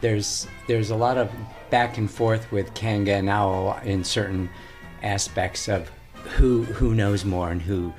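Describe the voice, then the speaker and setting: A man with a lowish voice describes his stage role. His humor is dry and playful, with faint music in the background. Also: fairly even intonation, a little slow, a little mellow. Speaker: stage actor. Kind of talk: casual interview chat.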